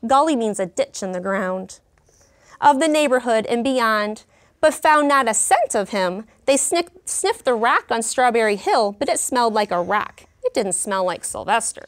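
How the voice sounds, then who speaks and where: A woman in her thirties, a teacher in a classroom, reading a story aloud with clear, expressive delivery and pausing to explain words. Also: on the high side. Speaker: kindergarten teacher. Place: elementary classroom.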